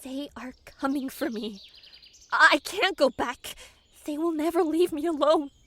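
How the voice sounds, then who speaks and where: Very high-pitched frantic heroine. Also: female voice, a little slow, fairly bright tone. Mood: frightened, desperate. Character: high-strung, excitable woman in fantasy adventure. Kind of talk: audio drama acting. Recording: faint music under the voice.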